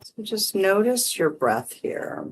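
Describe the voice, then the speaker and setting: A meditation teacher guiding breath and visualization in short, gently permissive phrases with long pauses. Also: mid-range voice, sing-song, a little hiss or hum, low-quality recording. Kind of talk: guided meditation, unhurried instruction.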